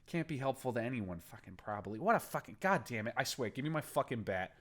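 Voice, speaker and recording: A man's voice: mid-range voice, very animated, fast talker, pitched above the performer's own voice. A man reading a story aloud, voicing a furious, profane male gang boss who threatens and then coaxes in a raised, cursing voice. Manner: swearing, menacing, raised and shouting. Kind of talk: audiobook character voice.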